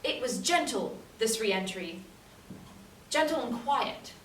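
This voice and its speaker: A woman playing a gentle, awestruck character in a soft lyrical stage monologue. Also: on the high side, animated, slow. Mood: gentle wonder. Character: gentle, awestruck woman recalling returning to her body. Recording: echoey stage, distant mic, faint noise.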